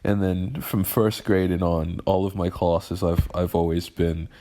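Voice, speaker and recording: A young man in his early twenties with a lowish voice talks slowly to camera. He vents in a halting, emotional way and keeps starting his sentences over. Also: little pitch movement, dark tone. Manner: halting and emotional, with frequent restarts. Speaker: vlogger. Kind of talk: personal venting monologue.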